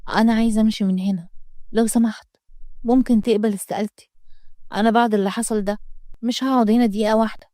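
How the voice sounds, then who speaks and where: Woman as a defiant sharp-tongued nanny. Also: fairly high pitch, fairly even intonation, quick pace, long pauses, dry recording, studio quality, lightly acted. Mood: weary. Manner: defiant, mocking.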